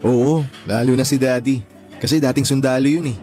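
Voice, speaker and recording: An actor playing an attentive, easygoing young Filipino boyfriend in a radio drama. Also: male voice, medium pitch, fairly even intonation, moderate pace, dark tone, soft background music, close to an everyday voice. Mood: reassuring.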